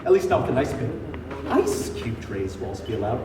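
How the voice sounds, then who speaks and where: A distant, echoey and noisy stage recording of a young man playing a pompous, paranoid revolutionary in an absurd comedy. He declaims theatrically, swinging widely in pitch and flaring into outbursts. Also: sing-song, brisk, close to an everyday voice. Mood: agitated. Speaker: actor. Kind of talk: amateur one-act stage comedy acting. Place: indoor stage, recorded from far off.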